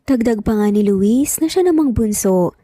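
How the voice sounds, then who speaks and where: A woman's voice: on the high side, expressive, normal speed, dark tone, dry recording, studio quality. A woman reading scripted narration as the storyteller of a romance story.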